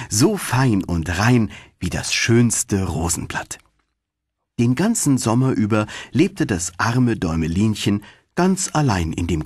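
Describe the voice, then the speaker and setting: A male narrator reads a fairy tale in an expressive storytelling style. His voice is slightly low and husky, with very animated intonation. He tells it as a scripted audiobook. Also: dry recording, clean studio sound.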